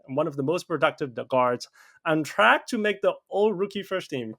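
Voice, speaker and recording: A man's voice: a little high, expressive, moderate pace, fairly bright tone. A man hosting an interview podcast, upbeat and loose, with lots of fillers and restarts as he asks questions. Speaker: podcast host.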